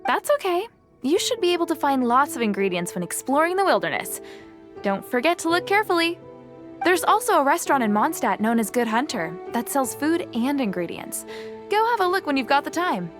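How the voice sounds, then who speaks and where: A young adventurer and survival expert in a video game. She has a high voice with lively, swinging intonation and gives friendly advice with easy self-assurance, with music underneath. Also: fairly quick. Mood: helpful. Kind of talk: English video game character acting.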